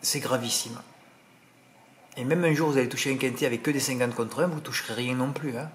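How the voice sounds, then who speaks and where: A man with a regional French accent talks at a middle pitch, rambling and indignant as he gives his racing tips. He keeps tossing in little tag words. A faint hiss sits under the recording. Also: a little fast. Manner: indignant, rambling, full of "hein" and "voilà". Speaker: horse racing tipster. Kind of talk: casual monologue to subscribers.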